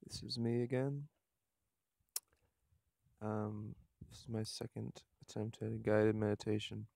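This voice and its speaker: A man leading a guided meditation outdoors, speaking softly and haltingly, with unhurried instructions and long pauses. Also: fairly low pitch, little pitch movement, vocal fry, dry recording.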